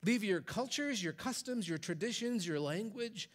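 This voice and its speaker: Animated preaching man, fairly high voice. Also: moderate pace. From Michigan, USA. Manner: animated preaching, voice rising for quoted commands. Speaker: pastor. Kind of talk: sermon. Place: church stage.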